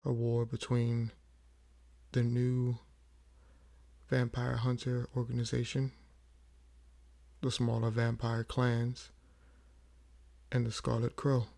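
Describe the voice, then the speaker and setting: A man playing a weary, proud old vampire in an audio roleplay, speaking in a measured, brooding way with frequent pauses. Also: mid-range voice, completely flat delivery, gravelly, dark tone. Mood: grave, matter-of-fact. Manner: measured, brooding, pausing often. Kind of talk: slow dramatic audio roleplay monologue.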